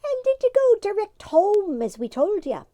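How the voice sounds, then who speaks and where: A woman's voice: very animated, moderate pace, warm tone, dry recording. A woman voicing a sly little fairy leader. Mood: mocking. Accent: Irish. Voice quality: sly, high and lilting.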